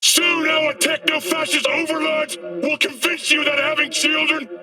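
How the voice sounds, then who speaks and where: A man's voice: extremely high pitch, far from the mic, over music. A man playing a fiery, paranoid anti-corporate street prophet in a video game, haranguing people in a declamatory protest rant. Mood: alarmed, accusing. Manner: declamatory, haranguing, drawn-out emphasis. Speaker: street agitator, conspiracy preacher. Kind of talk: ranting protest speech, video game acting.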